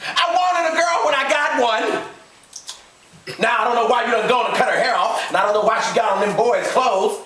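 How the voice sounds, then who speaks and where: In a roomy, slightly distant recording, a man acts out a rural Southern father in deep anguish and rage. He swings widely in pitch, pleading and thundering in folksy country dialect, a domineering husband in an audiobook drama. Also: sing-song, normal speed, fairly bright tone. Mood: angry, disbelieving. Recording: roomy, distant mic, faint noise.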